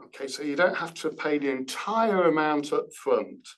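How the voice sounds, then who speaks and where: A man's voice: a little high, normal speed, fairly bright tone. A man presenting a university programme on a webinar over a video call. He explains the fees plainly and uses a few fillers.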